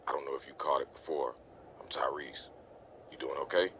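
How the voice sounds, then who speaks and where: A man voicing a steady, reassuring survivor of a zombie apocalypse in a motion comic, measured and consoling. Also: very deep voice, a little hiss or hum. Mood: friendly, concerned. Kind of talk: comic book voice acting.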